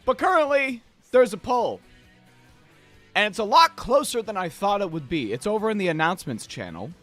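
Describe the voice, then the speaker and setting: A man with a slightly hoarse voice, hosting a casual livestream where he paints miniatures and chats playfully with viewers. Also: high-pitched, very animated, normal speed, fairly bright tone. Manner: playful, chatty, addresses chat directly. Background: faint background music.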